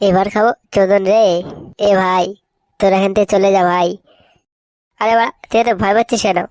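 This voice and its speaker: A man voicing a brash, foul-mouthed young cartoon layabout, swaggering and slangy, with a pitch-altered voice. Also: fairly even intonation, fairly quick, darkish tone, no room sound. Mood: impatient, bickering. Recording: faintly noisy cartoon dub, birdsong behind.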